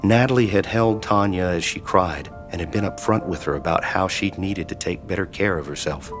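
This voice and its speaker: A man acting as the measured, sombre narrator of a scripted audio drama, telling the story in the third person. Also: sits low, music in the background, close to an everyday voice.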